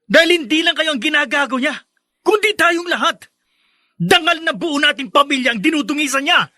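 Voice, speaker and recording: Radio drama: defiant son, bright and forceful, biting emphatic delivery, dry sound. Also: male voice, very high-pitched, animated, normal speed, dry recording, full character voice. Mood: indignant, defiant. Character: defiant, scornful young son guarding his family's honor. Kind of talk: Filipino radio drama acting.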